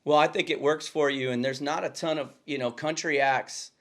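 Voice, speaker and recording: A man's voice: fairly high pitch, steady tone, moderate pace, fairly bright tone. A man hosting a casual interview, asking questions with enthusiasm and complimenting his guest. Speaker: podcast host.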